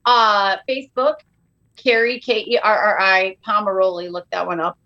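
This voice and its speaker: A woman's voice: mid-range voice, fairly even intonation, normal speed, bright, forceful tone, muffled recording. A woman chatting casually as a comic podcast guest, joking and full of energy. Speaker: guest comedian and author.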